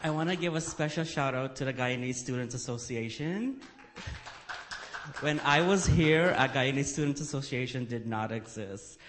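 A man, the founder of a community organization, speaking on a panel in an enthusiastic but at times halting way. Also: medium pitch, fairly bright tone, a little hiss or hum. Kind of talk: panel talk.

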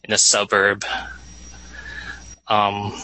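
A young American man, a college student, giving a halting personal testimony with many pauses and fillers. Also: on the low side, completely flat delivery, bright, forceful tone, low-quality recording. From United States. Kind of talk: personal testimony in a group discussion.

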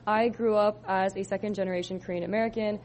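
A woman's voice: medium pitch, steady tone, fast talker, darkish tone, a little hiss or hum, low-quality recording. Young woman from socal giving a thesis talk, lots of um and like, casual. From Southern California. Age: 20s. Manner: casual, many ums and likes. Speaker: student presenter. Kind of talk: thesis presentation to a live audience.

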